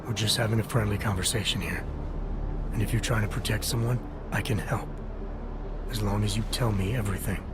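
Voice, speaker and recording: Low male voice, calm terse game investigator acted by a man, measured clipped questions, music behind. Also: close to an everyday voice. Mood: reassuring, firm. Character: calm, terse, probing male Interpol agent. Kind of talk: video game cutscene acting.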